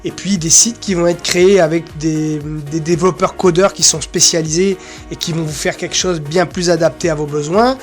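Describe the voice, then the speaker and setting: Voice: mid-range voice, steady tone, moderate pace, soft background music. A presenter giving an informal, unscripted screen-share walkthrough, rambling casually with little filler sounds. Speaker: presenter of an association's website.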